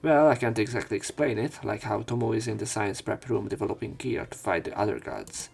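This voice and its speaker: Male let's play narrator, husky, wry casual asides between read passages, music behind. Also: lowish voice, brisk, a little mellow. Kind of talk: reading visual novel text aloud with casual commentary.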